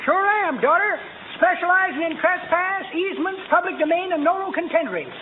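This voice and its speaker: A man's voice: very high voice, expressive, brisk. An actor playing a garrulous, folksy old man, an eccentric small-time lawyer in a 1940s radio comedy. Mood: pompous patter. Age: older. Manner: folksy, garrulous, mock-learned patter. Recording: muffled, noisy vintage radio broadcast.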